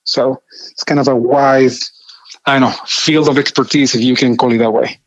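A man in his thirties from Chile, with a Chilean Spanish accent, telling his story conversationally as an interview guest in measured, hesitant phrases. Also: mid-range voice, steady tone, no room sound, lo-fi sound. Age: 30s. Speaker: podcast guest, engineering project manager.

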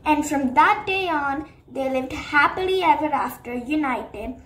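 A woman hosting her channel and narrating a children's bedtime story in a slow, sing-song way. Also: sits high, expressive, a bit bright.

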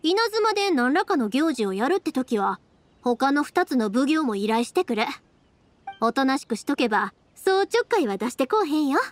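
An actress playing a cheerful, warm-hearted young woman with a Kansai accent in an anime game, speaking in a friendly, breezy, folksy way. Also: sits high, sing-song, normal speed. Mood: breezy, confident.

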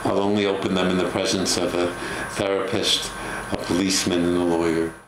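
An older man tells a personal story in a low voice, often halting and repeating himself. The studio recording is roomy, with clear noise behind him. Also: level tone. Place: indoor TV studio.

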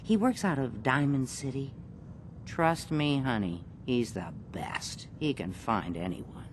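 A woman playing a warm, world-weary, folksy doctor in a video game, soothing and motherly. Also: animated, exaggerated voice. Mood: kindly advising. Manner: soothing, unhurried endearments. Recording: noisy rumbling background.

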